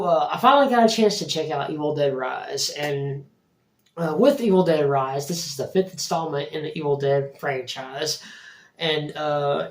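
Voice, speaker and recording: A man with a hoarse voice from a cold, casually reviewing a film and rambling with frequent restarts. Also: on the high side. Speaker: movie reviewer, channel host. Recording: roomy echo, distant mic.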